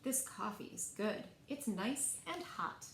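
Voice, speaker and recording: Storybook groundhog played by a woman: homebody, cheerful, simple expressive talk, lively pitch, echoey room. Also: slow pace. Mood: content. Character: cheerful homebody male groundhog, childlike and cozy. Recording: echoey room, faint background noise.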